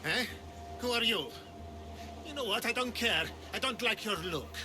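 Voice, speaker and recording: A man playing a scornful, excitable small-time swindler in a video game. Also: sits high, moderate pace, close to an everyday voice. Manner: sneering, excitable. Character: small-time male swindler, scornful, defiant, cowardly when hurt. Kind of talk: video game cutscene acting.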